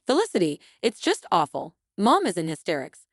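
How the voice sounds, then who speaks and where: Woman acting frustrated husband, story narration, distressed, reproachful, disbelieving, lively intonation, dry sound. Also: on the low side, moderate pace, dry recording, exaggerated voice. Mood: panicked.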